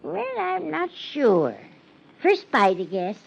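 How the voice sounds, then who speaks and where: A fluttery small-town spinster landlady in an old radio play, nervous and easily smitten. Her high voice wavers widely and she takes her time, stammering and hesitating. The recording is muffled and dated. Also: wildly swooping pitch, slow pace, gravelly, exaggerated voice. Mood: prim, puzzled. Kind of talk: 1950s radio drama acting.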